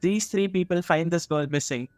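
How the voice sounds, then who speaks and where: A man's voice: a little high, normal speed, dry recording, faint music behind. A man with an Indian accent telling a story in casual conversation, repeating phrases as he goes. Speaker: storyteller on a radio horror show.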